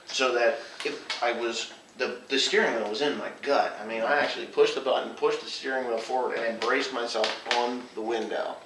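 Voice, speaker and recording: A man who farms, telling rambling, casual stories among friends in a folksy, colloquial and animated way. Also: mid-range voice, a little fast, hardly any pauses. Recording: roomy, distant mic, noisy background.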